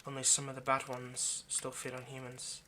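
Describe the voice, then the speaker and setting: Man playing an anxious lovesick vampire, bright-voiced. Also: medium pitch, completely flat delivery, a little hiss or hum, close to an everyday voice. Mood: grave, explanatory. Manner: halting, stammering, pleading. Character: anxious, lovesick male vampire kidnapper, centuries old but youthful. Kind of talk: intimate ASMR audio roleplay.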